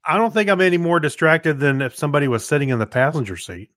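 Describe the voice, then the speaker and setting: A man's voice: on the high side, animated, fast, fairly bright tone, dry recording. Casual man co-hosting, dry close sound. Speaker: podcast co-host. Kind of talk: casual chat, personal anecdotes.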